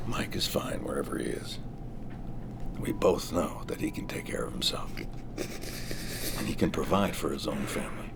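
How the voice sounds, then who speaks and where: A man playing a guarded middle-aged father who reassures haltingly and insistently in a script table read. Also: very deep voice, gravelly, noisy background. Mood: hushed pleading. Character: guarded middle-aged father hiding secrets, earnestly pleading.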